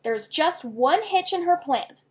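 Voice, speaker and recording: Higher-pitched woman reading a children's novel. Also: animated, measured pace. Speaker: author reading own novel aloud. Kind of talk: expressive children's book reading.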